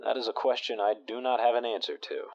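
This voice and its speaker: A man playing an even-tempered radio talk show host with a measured, steady delivery in a fan audio drama. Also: medium pitch, lo-fi sound, natural voice.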